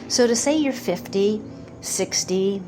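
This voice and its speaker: A woman in her fifties giving a slow, earnest motivational talk about manifesting, pausing often between phrases. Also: mid-range voice, over music, low-quality recording. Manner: slow, pausing, earnest, quotes doubters in put-on voices. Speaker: manifestation coach.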